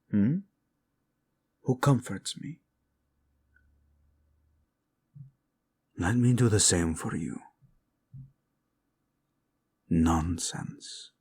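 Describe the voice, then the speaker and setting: Man acting a husky, devoted low-voiced prince. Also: a little mellow, heavily acted. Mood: tender, soothing. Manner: intimate, tender, formal phrasing with Spanish endearments. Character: devoted, protective young prince, tender lover. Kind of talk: romantic audio roleplay acting.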